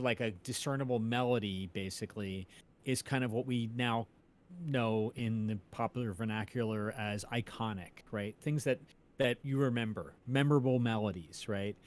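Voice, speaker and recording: A man's voice: mid-range voice, normal speed. A male co-host in a casual music discussion, explaining ideas tentatively and checking that he is on track.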